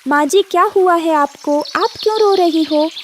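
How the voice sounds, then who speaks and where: A woman voicing a kind, polite and caring female bird in an animated Hindi story, sweet and respectful. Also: very high-pitched, normal speed, slight background noise, voice pushed up. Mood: concerned.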